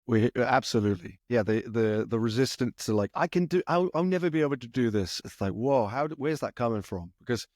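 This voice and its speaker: A man's voice: mid-range voice, lively intonation, fairly quick, few pauses, a little mellow, no room sound, clean studio sound. Man hosting a podcast interview. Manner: hesitant restarts, affirming. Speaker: podcast host. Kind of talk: interview questions, casual.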